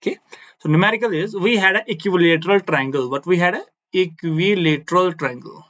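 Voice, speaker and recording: A man's voice: high-pitched, fairly quick, fairly bright tone, highs cut off. A man whose first language is Kashmiri, teaching physics on a board and repeating key phrases, often checking with "no?". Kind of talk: blackboard physics lecture.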